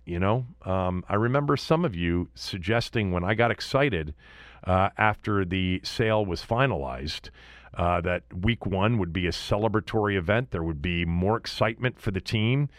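Low-voiced man, dry close-miked sports talk. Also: moderate pace, dry recording. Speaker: sports podcast host. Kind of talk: casual sports commentary monologue.

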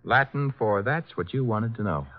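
A man's voice: fairly low pitch, animated, moderate pace, natural voice. An actor playing a breezy, flippant private-eye legman with a wry manner in a 1950s radio drama. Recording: muffled vintage 1950s radio recording.

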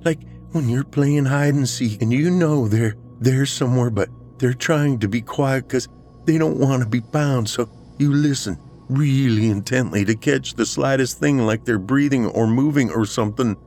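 Guy doing a freaked out paranoid dude, pleading, higher voice, horror audiobook. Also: moderate pace, darkish tone, soft background music, natural voice. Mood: urgent pleading. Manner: intense, pleading, insistent. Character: distraught, paranoid man insisting he hears something. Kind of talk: audiobook character dialogue, horror story.

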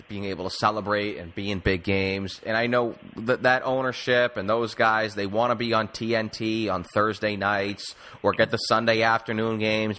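A man with a bright, sharp voice hosts casual sports talk. He hedges and circles around his points, working them out as he speaks. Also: fairly low pitch. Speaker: sports podcast host, interviewer.

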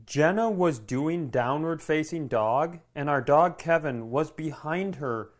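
A man's voice: fairly high pitch, animated, a little slow. Rambling male comedy podcast co-host. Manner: rambling, self-interrupting, profane. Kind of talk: casual comedic storytelling.

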